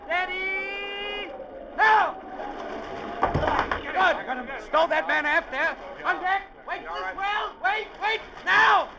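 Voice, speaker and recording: Casting: domineering old sea captain, seventies, high-pitched gravelly bark, impatient, vintage radio play with heavy storm noise. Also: male voice, takes it slowly, highs cut off, over a noisy crowd, exaggerated voice. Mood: harsh, urgent. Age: 70s. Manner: barking, curt, impatient orders. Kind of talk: 1940s radio drama acting.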